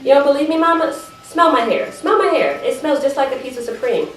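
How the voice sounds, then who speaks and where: A woman's voice: sits high, lively intonation, fast talker, pitched above the performer's own voice. A woman performing a dramatic monologue as an evasive teenage sister, chatty and apologetic as she pleads her case to her mother. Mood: animated, insistent. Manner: defensive, apologetic, chatty digressions. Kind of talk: dramatic monologue read aloud at a live event. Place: live event room, recorded from a distance. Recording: roomy, distant microphone, faint background noise.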